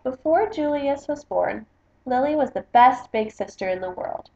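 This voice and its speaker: A woman's voice: high voice, expressive, moderate pace, gravelly, dark tone, slight background noise. A woman book vlogger reading a picture book aloud in a casual, chatty style. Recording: slightly dull, roomy home recording.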